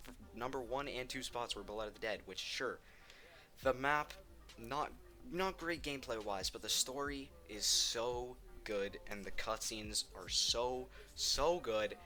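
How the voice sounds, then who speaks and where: A man's voice: mid-range voice, bright, forceful tone. A man giving casual, opinionated countdown commentary on video games, enthusiastic and emphatic, repeating words for stress. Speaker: video game ranking host.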